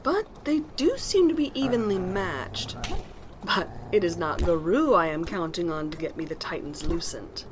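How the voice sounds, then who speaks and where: A woman's voice: on the low side, expressive, normal speed, noisy background, lightly acted. A woman playing a villainous, scheming sorceress in a fantasy audio drama, theatrical and commanding.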